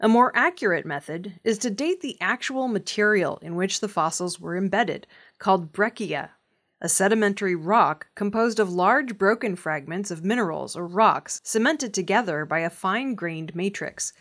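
Female news reader, medium pitch. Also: animated, normal speed, a bit bright. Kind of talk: scripted news narration.

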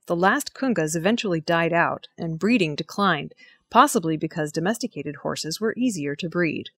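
A woman presenting scripted news stories in a measured, formal reading manner. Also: on the low side, breathy edge, no echo, clean studio sound.

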